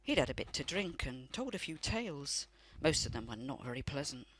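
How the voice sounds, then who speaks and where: A woman's voice: very deep voice, very animated, fairly quick, a little hiss or hum. An actress in a radio play playing a loving, wistful elderly grandmother who tells family memories in a reflective way. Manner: reflective storytelling.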